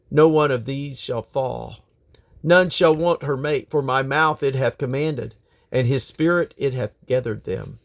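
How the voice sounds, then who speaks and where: A man reading scripture aloud in a solemn, declamatory manner. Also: medium pitch. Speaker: Bible reader.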